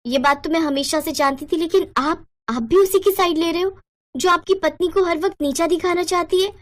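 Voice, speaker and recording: Woman acting a high, sharp-tongued young sister-in-law. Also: fairly quick. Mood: hurt, accusing. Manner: indignant, accusing, argumentative.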